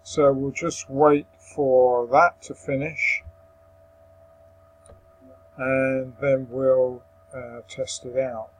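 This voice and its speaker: A man presenting a software tutorial, explaining step by step in a hesitant way. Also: medium pitch, level tone, extremely slow, long pauses, low-quality recording. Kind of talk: software screen-recording walkthrough.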